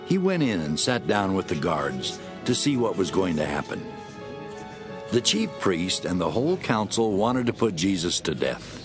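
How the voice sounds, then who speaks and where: A man narrating scripture as a scripted dramatic reading. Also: lowish voice.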